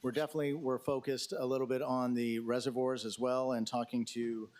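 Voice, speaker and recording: A man's voice: mid-range voice, level tone, brisk, darkish tone. Hesitant man briefing a council. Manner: hesitant, many fillers and restarts; addresses questioner as sir. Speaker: emergency management official. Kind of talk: answering questions at a council briefing.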